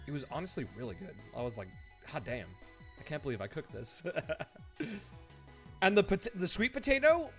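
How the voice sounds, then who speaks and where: Higher-voiced man chatting on stream over music. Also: moderate pace, long pauses. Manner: animated storytelling, mimics his own reactions; laughs easily while talking. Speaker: live streamer. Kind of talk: casual chat with viewers on a game stream. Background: background music.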